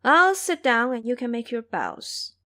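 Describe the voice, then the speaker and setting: A woman performs a matronly mother-in-law from an audio drama. She is shrewd and bustling, giving fussy commands with a very lively, varied pitch. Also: measured pace, full character voice. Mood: coy, calculating.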